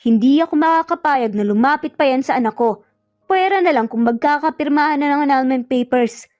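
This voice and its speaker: A woman's voice: high voice, a little fast, darkish tone, no echo, close to an everyday voice. A woman voicing an angry, protective older father, stern and indignant, in an audio drama. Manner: indignant, blustering. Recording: muffled lo-fi audio, music bed behind.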